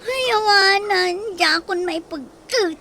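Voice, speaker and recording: A woman's voice: extremely high pitch, slow pace. An actress playing an easygoing older sister figure who hums and shrugs off her debts. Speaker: elder sister figure called manang. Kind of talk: radio drama acting. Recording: audible background noise.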